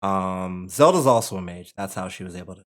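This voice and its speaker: A man's voice: deep voice, expressive, a little fast. A man reading out the stage directions and narration at a screenplay table read.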